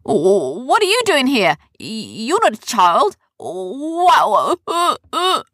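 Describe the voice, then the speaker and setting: A woman's voice: high voice, sing-song, moderate pace, bright, forceful tone, dry recording, studio quality, voice pushed up, exaggerated voice. A woman voicing a brusque, haggling male sky pirate in a storybook, blunt and casual. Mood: startled.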